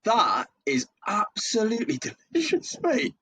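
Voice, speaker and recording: A man co-hosting a podcast, chatting excitedly and calling his guest "mate" a lot. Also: sits high, measured pace, husky. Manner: excitable, peppers talk with "mate". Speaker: podcast co-host. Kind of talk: casual podcast conversation.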